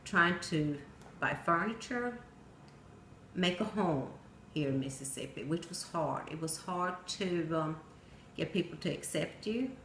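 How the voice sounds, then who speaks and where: A middle-aged woman from Sweden with a Swedish accent, telling a personal story in plain storytelling with pauses and some non-native grammar. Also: fairly low pitch, fairly bright tone. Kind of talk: personal narrative speech. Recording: echoey room with faint noise.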